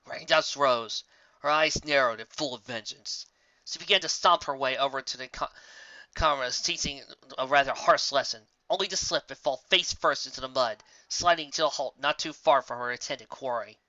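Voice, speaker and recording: A narrator reading a scripted fan fiction story in an expressive, dramatic way, close to the microphone. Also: medium pitch, normal speed, no echo. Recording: slightly dull, dry home recording.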